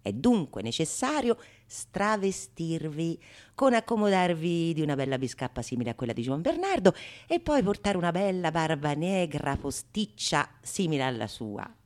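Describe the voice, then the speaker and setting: An actress plays a sly go-between in a bawdy Renaissance stage comedy. She is a woman who schemes out loud, teasing and conspiratorial, with florid archaic theatrical phrasing. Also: low-pitched, very animated, normal speed. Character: sly bawdy scheming go-between, plotting pranks on a foolish lover. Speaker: stage actress performing all parts.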